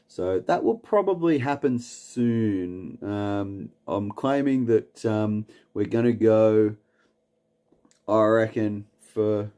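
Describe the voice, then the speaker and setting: A man with an Australian accent, hosting a late-night livestream and reading chat comments aloud. He rambles in a relaxed way, with lots of "um"s and repeated words, and chuckles often. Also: fairly low pitch. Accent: Australian, from Newcastle. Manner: chuckles often between lines. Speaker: livestream host.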